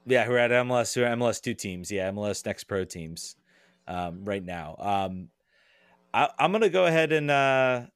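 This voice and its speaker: A man's voice: mid-range voice, expressive, moderate pace, clear, resonant tone, no room sound, clean studio sound. A man giving casual soccer analysis as a guest, hesitant and working through his thoughts as he talks. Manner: hesitant, thinks aloud, hedges. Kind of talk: casual sports discussion.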